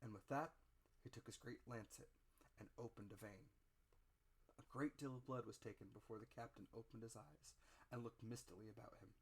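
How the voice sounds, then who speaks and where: Male narrator, medium pitch. Dramatic audiobook read, theatrical, phrase-by-phrase delivery. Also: steady tone, normal speed, breathy edge, poor audio.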